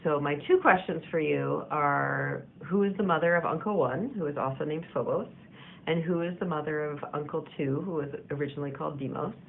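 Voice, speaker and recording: A woman author posing quiz questions to the listener in a relaxed, conversational way. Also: low voice, a little fast. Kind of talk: casual video message. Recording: gravelly phone-like audio with faint hiss.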